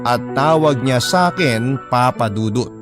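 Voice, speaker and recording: A man narrating a remorseful first-person love confession as a young teacher, in a sentimental, measured radio drama style. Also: medium pitch, animated, natural voice. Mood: regretful, sentimental. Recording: music bed under voice.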